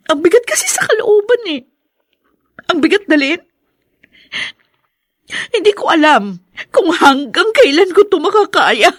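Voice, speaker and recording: A woman's voice: very high voice, very animated, slow pace, long pauses, dry recording, studio quality, exaggerated voice. An actress playing a pregnant young wife in a radio drama, earnest and emotional in her delivery. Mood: burdened. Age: young adult. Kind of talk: Tagalog radio drama acting.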